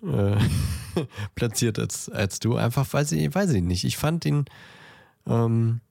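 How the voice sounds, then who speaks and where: A man chatting casually as a podcast co-host, thinking aloud in a halting way as he ranks things. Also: medium pitch, lively intonation, creaky, mellow, no room sound, studio quality. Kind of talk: casual chat, ranking films.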